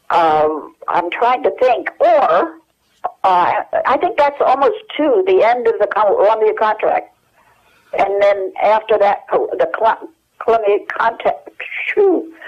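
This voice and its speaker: Older American woman on a dull phone line. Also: mid-range voice. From United States. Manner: hesitant, searching her memory as she talks. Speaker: interview guest. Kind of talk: casual reminiscing in an interview. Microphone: telephone. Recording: dull lo-fi telephone hook-up.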